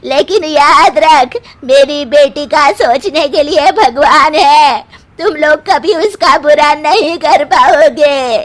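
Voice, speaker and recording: A greedy, complaining older mother-in-law, acted by a woman in a cartoon family tale. Her voice is very high, and she drags out her demands slowly as she scolds. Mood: scolding, aggrieved. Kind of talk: cartoon moral story voice acting.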